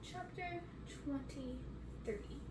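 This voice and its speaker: A woman's voice: high voice, drawn-out words. A young adult woman reading a storybook aloud for ASMR in a bedroom. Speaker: ASMR reader. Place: bedroom, indoors. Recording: roomy, distant, clearly noisy bedroom recording.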